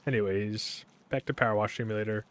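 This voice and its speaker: A young man streaming a game, talking in a casual, rambling way with a monotone delivery, full of fillers and restarts. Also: on the low side, fast talker. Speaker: gaming streamer.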